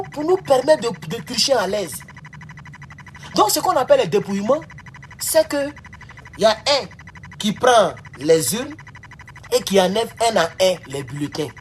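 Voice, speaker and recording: A man giving a casual, emphatic monologue as an online commentator, repeating himself and swinging between loud outbursts and low asides. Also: a little hiss or hum. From West Africa.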